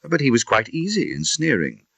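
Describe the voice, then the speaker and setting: A man voicing an indignant Victorian gentleman with a husky British voice, telling a grim tale in an emphatic, theatrical audiobook style. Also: medium pitch, huge pitch swings, normal speed, no echo, pitched above the performer's own voice, heavily acted. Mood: wry, emphatic. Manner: emphatic, theatrical, conversational asides. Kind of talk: dramatised audiobook storytelling.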